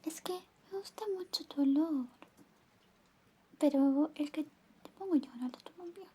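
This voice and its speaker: A woman performing a soft-spoken, partly whispered ASMR roleplay as an affectionate, teasing best friend, coy and doting. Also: sits high, moderate pace. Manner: coy, playful, doting, murmuring little interjections. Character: affectionate, teasing, jealous young female best friend in love with the listener.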